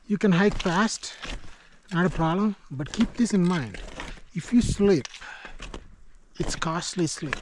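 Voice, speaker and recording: A man talking to viewers while hiking a mountain trail, halting and full of fillers, recorded on a camera mic outdoors. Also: sits high, darkish tone, slight background noise. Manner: halting, many fillers and restarts, addresses viewers as guys. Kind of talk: casual trail vlog commentary.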